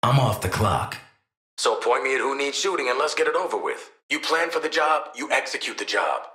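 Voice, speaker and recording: A man's voice: mid-range voice, very animated, brisk, sharp tone, roomy sound. An actor playing a cynical, cocky assassin and protective father in a video game, with an American accent and a wry, slangy, streetwise way of talking. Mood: wry, confident. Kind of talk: video game character voice lines.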